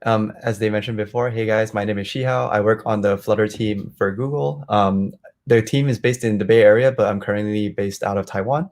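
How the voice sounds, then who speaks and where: Gravelly-voiced man giving an online talk. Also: fairly low pitch, fairly quick. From Malaysia. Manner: relaxed, many ums and likes. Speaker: guest speaker, software engineer. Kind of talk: online meetup presentation. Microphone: video call.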